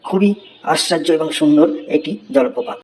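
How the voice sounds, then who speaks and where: A man's voice: a little high, a little hiss or hum, close to an everyday voice, rough recording. A man voicing a schoolboy who recites a memorized essay in a cartoon. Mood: recitation. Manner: fast rote recitation.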